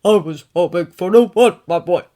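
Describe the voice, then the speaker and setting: A woman voicing a big, gentle grown male hero who comforts his young student in a fatherly way. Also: on the low side, normal speed, lightly acted.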